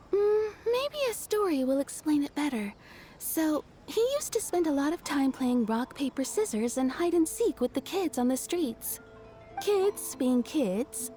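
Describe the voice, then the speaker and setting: Chatty townswoman, game character, high voice, lively. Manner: chatty and amused, tells anecdotes. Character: cheerful young woman of the town, fond storyteller. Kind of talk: video game voice acting. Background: soft game music.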